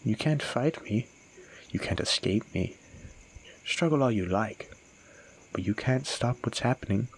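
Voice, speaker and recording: A man voicing a sadistic male monster in an audio roleplay, slow and taunting, slipping into breathy whispers. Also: medium pitch, expressive, poor audio. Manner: teasing, menacing, slow and savoring.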